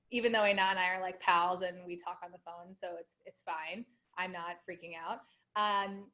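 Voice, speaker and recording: A woman's voice: medium pitch, fairly bright tone. A young woman hosting a live online program, chatty and bubbly, with frequent fillers. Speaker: host. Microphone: video call.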